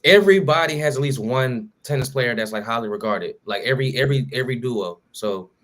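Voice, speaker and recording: A man's voice: medium pitch, animated, a little fast, fairly bright tone. Slangy man riffing on sports. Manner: loose, slangy, lots of restarts and fillers. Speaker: podcast co-host. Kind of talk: casual sports talk.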